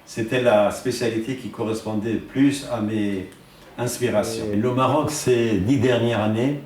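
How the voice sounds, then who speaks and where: A man's voice: fairly low pitch, steady tone, measured pace, darkish tone, a little hiss or hum. An older man from Iran, in his sixties or above, speaks as an eye doctor, explaining things didactically in an interview. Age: 60s or older. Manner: didactic, asks and answers his own questions. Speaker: ophthalmologist. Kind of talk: explanatory interview talk, presenting his work and equipment.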